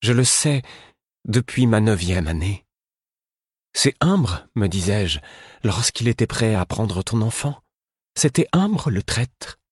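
A man's voice: on the low side, big pitch swings, slow, long pauses, husky, dry recording, studio quality, pitched above the performer's own voice, exaggerated voice. A man voicing a distraught male royal fool in an audiobook, speaking in a pleading, anguished way.

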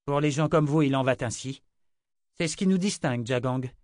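A man's voice: mid-range voice, brisk, a little mellow, dry recording, studio quality, close to an everyday voice. A man reading an audiobook, voicing a proud, scornful young woman held prisoner, accusing her captor. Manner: cutting, accusing.

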